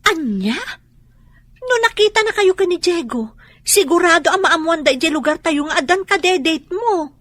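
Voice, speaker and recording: A woman's voice: extremely high pitch, animated, normal speed, fairly bright tone, no room sound. An actress with a Filipino accent playing a concerned, cautious young woman in a radio drama, earnest and questioning. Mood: anxious warning.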